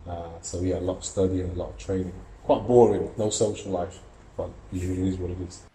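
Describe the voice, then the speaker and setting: A man's voice: low voice, steady tone, brisk, roomy sound, noisy background. A young man from Russia, an amateur boxer and student, giving earnest, reflective interview answers with many fillers and restarts. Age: young adult.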